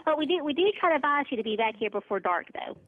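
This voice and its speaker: A woman's voice: high voice, animated, very fast. A woman working as a front desk receptionist, chatting with a customer in an easygoing, giggly way over the phone. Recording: tinny, narrow phone line.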